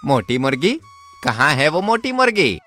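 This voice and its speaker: Animated village swindler, played by a man. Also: a little high, normal speed, faint music behind, heavily acted. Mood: surprised, eager. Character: swindler brother, village trickster and schemer. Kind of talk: Hindi folk tale dialogue.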